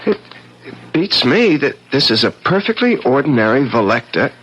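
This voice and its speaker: An actor playing an eager young amateur photographer in love, in a 1970s radio drama. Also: male voice, medium pitch, big pitch swings, normal speed, fairly bright tone, husky. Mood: baffled. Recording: noisy 1970s radio broadcast recording.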